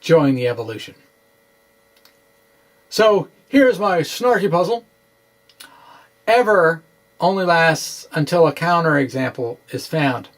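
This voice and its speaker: A middle-aged man giving a dramatic reading of a science explainer, with deliberate, halting, theatrical phrasing, close to the microphone in a small room. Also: fairly high pitch. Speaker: science blogger presenting. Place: small indoor room with bookshelves. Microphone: microphone close in front on a stand.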